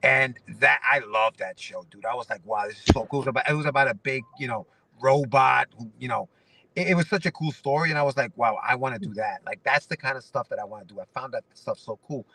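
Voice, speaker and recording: A man's voice: mid-range voice, fast, gravelly, bright, forceful tone, recorded from a distance, a little hiss or hum, rough recording. A man in his forties from Ecuador, a guest comic writer chatting casually in an interview, rambling with many restarts and fillers. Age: 40s.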